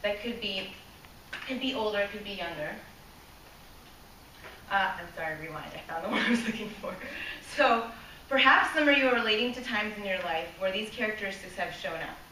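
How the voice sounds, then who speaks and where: A woman speaking to a live room in a clear, bright voice. She sounds earnest and asks the audience questions. She is picked up from a distance in an echoey room with a faint hiss. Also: mid-range voice, animated, fairly quick, long pauses. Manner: earnest, asks the audience questions, small laughs, loses her place and restarts. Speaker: club speaker. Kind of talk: prepared public speech to a live room.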